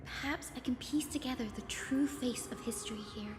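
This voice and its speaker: A woman's voice: high voice, fairly quick, background noise, close to an everyday voice. A woman voicing a learned, composed young priestess in a fantasy video game, formal and articulate. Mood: pensive. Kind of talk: scripted video game voice acting. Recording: echoey reverberant hall, music behind.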